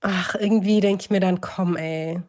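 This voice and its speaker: A woman hosting a podcast in casual chat, expressive and slangy, dropping in English words. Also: on the low side, moderate pace. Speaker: podcast host.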